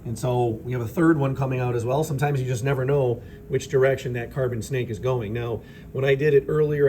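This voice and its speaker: A slightly raspy man, a chemistry teacher, narrating a lab demonstration off camera in a relaxed, explanatory way with fillers. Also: medium pitch, a little hiss or hum. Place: school chemistry lab with vents. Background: ventilation fans running.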